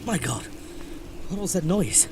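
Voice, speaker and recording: A man playing a rational older doctor in a horror audio drama, speaking in measured, formal phrases. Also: sits high, big pitch swings, far from the mic, background noise. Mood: alarmed. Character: reassuring, rational older doctor on a wilderness trip.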